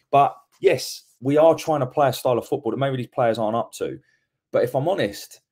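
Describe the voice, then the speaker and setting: A man's voice: on the low side, darkish tone. A man, hosting a solo post-match football reaction podcast from home on a webcam, giving frank opinions and often repeating words as he corrects himself. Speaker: podcast host.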